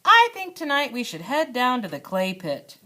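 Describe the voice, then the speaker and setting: A playful, adventurous storybook dad, acted out by a woman reader, eager and theatrical. The delivery is very animated, full of teasing relish for spooky monster tales. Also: fairly high pitch, moderate pace, pitched above the performer's own voice. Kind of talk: children's storybook read-aloud.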